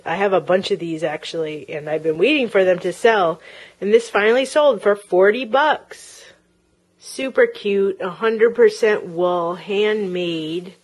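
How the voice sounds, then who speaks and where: Slow-talking woman showing sold items. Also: mid-range voice, darkish tone. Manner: chatty, with frequent ums and uhs. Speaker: online reseller. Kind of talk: casual show-and-tell of sold items. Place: home, tabletop filming. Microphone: handheld camera close-up, speaker off-camera.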